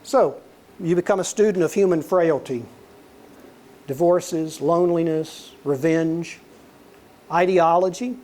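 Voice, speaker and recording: Older guy lecturing, soothing voice, a bit higher pitched, chatty storyteller vibe. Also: a little slow, pauses often. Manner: relaxed storyteller, acts out quoted dialogue. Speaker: guest lecturer, former intelligence officer. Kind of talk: conversational lecture with anecdotes.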